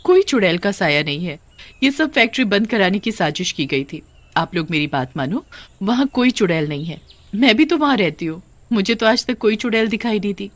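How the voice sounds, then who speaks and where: A woman's voice: mid-range voice, big pitch swings, a little fast, no room sound, natural voice. A woman voicing a persuasive, scheming lady factory owner in a cartoon, coaxing and insistent.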